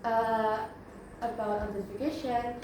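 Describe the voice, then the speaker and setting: A young woman with an Indonesian accent presents in a fairly high voice, pausing often to restart and fill gaps. She sounds distant from the mic in a very echoey room with steady background noise. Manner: halting, with frequent fillers and self-corrections. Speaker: student presenter. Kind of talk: class presentation.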